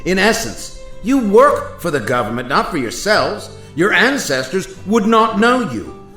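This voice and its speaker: A man's voice: sits high, big pitch swings, a little slow, bright, forceful tone, heavily acted. Over music in an echoing space, a man acts out a grave founding father statesman from a radio play. His delivery swings widely as he reproaches his listeners with sorrowful, oratorical indignation. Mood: indignant. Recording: roomy echo with music bed.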